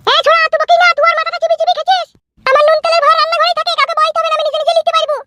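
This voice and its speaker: A performer voicing a sharp-tongued, exasperated middle-aged village mother in a comedy cartoon, nagging in a shrill voice. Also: level tone. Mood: scolding. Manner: nagging, rapid-fire scolding. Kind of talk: animated comedy cartoon dialogue.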